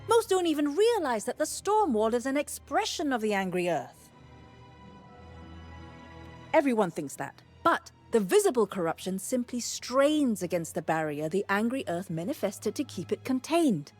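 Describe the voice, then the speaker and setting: Careful earnest wise woman from a video game, really lively intonation, soft music in the back. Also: fairly high pitch, normal speed. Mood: earnest concern. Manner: earnest, explanatory, concerned. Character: cautious, earnest female botanist sage of long experience. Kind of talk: video game character dialogue.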